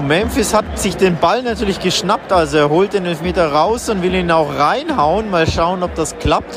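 A man giving casual live football commentary as a podcast host, chatting informally as he describes the scene. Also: high voice. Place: outdoors in the stands of a large football stadium. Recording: outdoor stadium with crowd sound. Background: stadium crowd noise and music.

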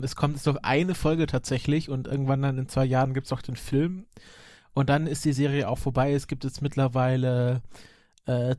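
Guy on a podcast geeking out casually, kinda rambly and halting, average pitch, super dry sound. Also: darkish tone, no room sound. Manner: halting, rambling, hesitant mid-sentence. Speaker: podcast guest. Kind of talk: enthusiastic casual talk about animated series.

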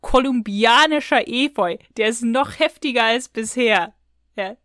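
A woman's voice: fairly high pitch, a little slow, fairly bright tone, no echo, studio quality. A woman co-hosting a podcast, chatting casually and retelling things in a colloquial, rambling way. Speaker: podcast co-host. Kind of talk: casual podcast chat, reviewing audio dramas.

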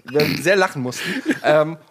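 A man of thirty-nine interviewing a guest in a relaxed, casual way. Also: fairly high pitch, moderate pace. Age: 30s.

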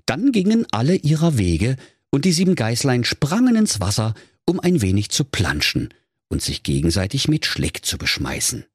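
A man's voice: medium pitch, huge pitch swings, moderate pace, slightly rough, dry recording, studio quality. A man narrating a comic fairy tale as a storyteller, in a dramatic storybook manner. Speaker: storyteller, podcast host.

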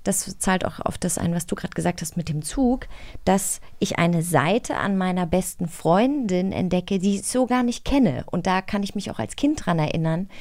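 Lowish-voiced woman, dry close sound. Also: animated, moderate pace, darkish tone, dry recording. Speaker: magazine co-host. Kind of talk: conversational hosting.

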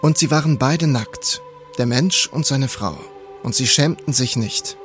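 Guy reading bible verses formally, middle pitch, soft music under him. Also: measured pace. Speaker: reader of scripture quotations.

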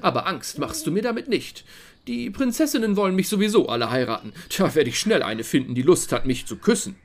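Man playing a vain, animated prince. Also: fairly high pitch, fairly quick. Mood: cocky. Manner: breezy, self-satisfied. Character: vain, nonchalant young charmer prince. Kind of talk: fairy-tale audio drama acting.

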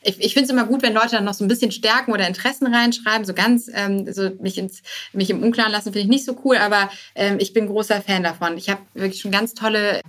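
A woman's voice: mid-range voice, fairly even intonation, fast talker, a bit bright. A woman speaking as a podcast guest and startup co-founder, answering informally with hesitant phrasing and English business jargon. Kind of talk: conversational interview answers.

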